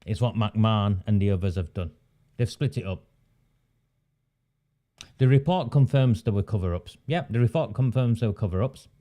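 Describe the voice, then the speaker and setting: A man's voice: lowish voice, normal speed, long pauses, dark tone. A man from Oldham gives a political commentary monologue. He works through his points methodically, repeating them, asking rhetorical questions, and adding dry sarcastic asides. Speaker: local campaigner and commentator.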